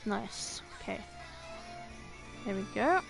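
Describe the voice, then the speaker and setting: A woman's voice: medium pitch, lively intonation, slow, gravelly. A woman livestreamer chatting casually to herself in short phrases while playing a video game. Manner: muttering to self in short phrases. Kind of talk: casual gameplay chat, thinking aloud. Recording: music playing behind the voice.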